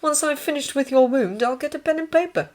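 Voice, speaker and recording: A woman's voice: sits high, fairly quick, pitched above the performer's own voice, natural voice. A woman voicing a polite, dutiful male army medical corporal, earnest and deferential, in a story reading.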